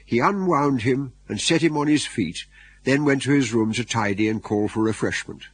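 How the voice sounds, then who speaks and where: Male narrator for radio comedy, husky mid-range voice, scripted storytelling. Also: mid-range voice, moderate pace.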